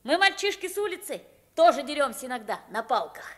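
A woman's voice: sits high, fairly quick. A woman playing a poor, eager and deferential young London street boy in a radio play. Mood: eager, lively. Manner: polite and deferential, animated when describing games. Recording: vintage 1950s radio play recording.

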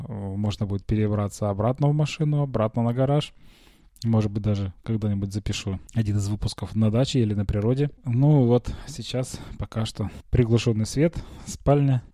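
Male podcaster, very mellow and muffled-warm tone, mid pitch, getting over a cold, hesitant conversational storytelling, dry room. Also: no room sound. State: recovering from a cold, slight cough. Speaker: podcast host. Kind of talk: casual personal storytelling. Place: small quiet bedroom, lying on a bed.

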